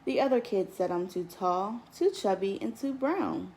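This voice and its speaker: A woman doing a discouraged little girl in a picture book, speaking softly and downcast. A faint gravelly fry runs through the voice. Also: medium pitch, lively intonation, measured pace, slight background noise. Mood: hurt. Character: young girl, hurt and discouraged.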